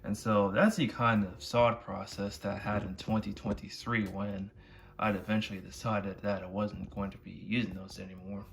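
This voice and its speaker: A man presenting a collection in a casual showcase style, with halting, wordy phrasing. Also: fairly low pitch, level tone, gravelly. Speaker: video creator presenting a collection. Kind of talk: casual product showcase narration.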